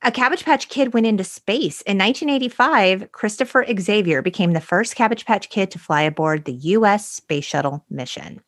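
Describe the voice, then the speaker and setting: A woman with a mid-pitched voice, recorded very dry with no room sound. She presents as a podcast co-host, moving between prepared notes and casual talk in a wry, playful way. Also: lively intonation, moderate pace, hardly any pauses, a bit bright, no echo. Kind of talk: conversational podcast talk with read-out notes and quotes.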